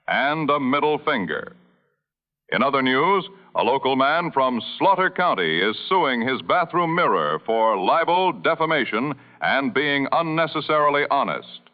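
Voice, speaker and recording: A man playing a bombastic satirical radio news anchor, reading a scripted mock bulletin with deadpan seriousness. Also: medium pitch, highs cut off.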